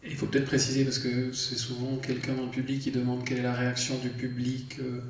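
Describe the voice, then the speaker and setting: A man's voice: medium pitch, completely flat delivery, brisk. A man moderates a debate after a film screening, addressing the audience courteously. He is at some distance from the mic in a very reverberant auditorium. A faint hiss sits behind him. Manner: polite, guiding the audience. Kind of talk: formal event moderation. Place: cinema auditorium. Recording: echoey cinema hall, heard from afar.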